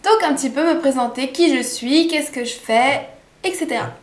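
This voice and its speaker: A woman's voice: sits high, moderate pace. A woman of twenty from France, chatting casually in a self-introduction vlog with enthusiasm and frequent hesitant fillers. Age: 20s. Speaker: vlogger. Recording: echoey room.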